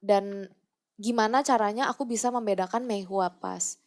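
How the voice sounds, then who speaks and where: A woman's voice: mid-range voice, normal speed, darkish tone. A young woman chatting as an actress guest on a podcast, mixing English phrases into her casual talk.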